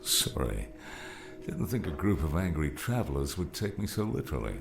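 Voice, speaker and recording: A man voicing a gruff, wry, fatherly old mentor who teases without hurry, in an audiobook. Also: very animated, normal speed, noisy background, exaggerated voice. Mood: dry, patient. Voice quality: deep, gravelly.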